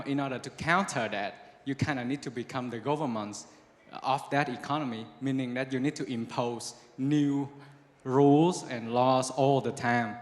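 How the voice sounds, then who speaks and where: A man with a medium-pitched voice puts a question at a conference. He talks informally and loosely, padding phrases and checking that listeners follow. His voice rings in a big reverberant hall. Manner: rambling, filler-heavy, often seeking agreement. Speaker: audience member asking a question. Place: large conference event hall.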